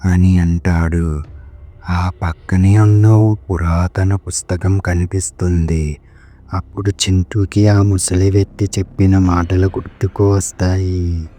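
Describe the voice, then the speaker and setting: A man's voice: sits low, little pitch movement, slow pace, mellow. A man narrating a scripted horror story as its storyteller. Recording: music behind the voice.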